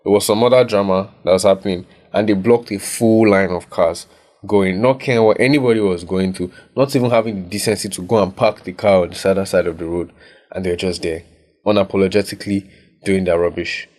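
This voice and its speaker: Low-voiced Nigerian podcast co-host. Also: male voice, fairly even intonation, brisk, darkish tone. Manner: reflective, tags points with "right?". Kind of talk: casual podcast chat. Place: recording studio.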